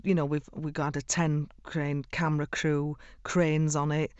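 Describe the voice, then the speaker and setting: A woman's voice: deep voice, flat delivery, measured pace, a little mellow, smooth, no echo, highs cut off. A middle-aged woman from Sheffield, an effusive singer chatting in a radio interview and laughing often. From Sheffield, England.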